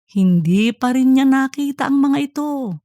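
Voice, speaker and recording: A woman reads a scripted story as its narrator. Her voice sits fairly high, and the sound is dry with almost no room in it. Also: lively intonation, unhurried, dark tone, no room sound. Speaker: audiobook narrator.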